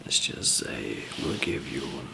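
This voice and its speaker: A man playing a calm, easygoing survival trainer in an ASMR zombie apocalypse roleplay, whispering softly and hesitantly with a gravelly, breathy voice. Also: very deep voice, heavily acted. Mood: quietly instructive. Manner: hesitant, halting, soft-spoken. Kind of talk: ASMR roleplay, improvised monologue to listener.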